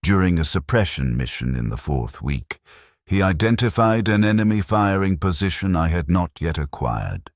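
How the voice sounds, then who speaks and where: A man reading a scripted first-person war story in a detached, clinical and measured manner. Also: sits low, very animated, no room sound, clean studio sound. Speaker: narrator of a science fiction war story.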